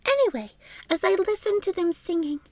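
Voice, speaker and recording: A woman's voice: very high-pitched, expressive, brisk, darkish tone, no echo, voice pushed up. A woman voicing a boastful, melodramatic female storybook animal who tells tales with theatrical exaggeration. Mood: boastful, dramatic. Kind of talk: children's storybook character voice.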